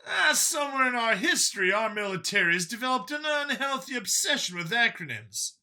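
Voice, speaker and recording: A man puts on an eager soldier type for an audiobook. The voice is pitched up, bright and emphatic, excitable and quick to get defensive. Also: heavily acted. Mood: curious, amused. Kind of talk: audiobook character dialogue.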